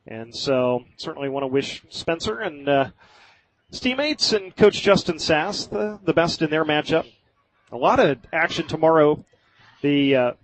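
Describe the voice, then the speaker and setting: A man doing live radio play-by-play of high school football in short, clipped phrases. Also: a bit bright, poor audio. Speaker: play-by-play sports announcer.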